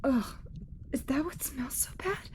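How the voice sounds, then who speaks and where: An actress playing a shaken, grieving mother in an audio drama, muttering in a hushed, breathy way. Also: high-pitched, measured pace, whispered. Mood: uneasy, disgusted. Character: shaken, grieving young mother investigating a smell.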